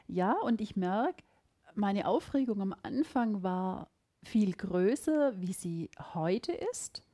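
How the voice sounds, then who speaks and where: A woman's voice: mid-range voice, slow pace. A middle-aged woman with a Swabian accent, hosting a podcast in a casual, reflective conversation. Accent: Swabian German. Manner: lively, emphatic, reflective. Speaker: podcast host.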